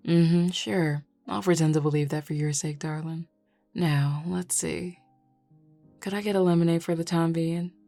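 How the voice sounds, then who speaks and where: Flirty male Southern werewolf bar patron played by a woman: low voice, playful drawl, dry close sound. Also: fairly even intonation, creaky, mellow, no echo, soft background music. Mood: teasing. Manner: drawling, playful, unhurried teasing. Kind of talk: scripted audio roleplay.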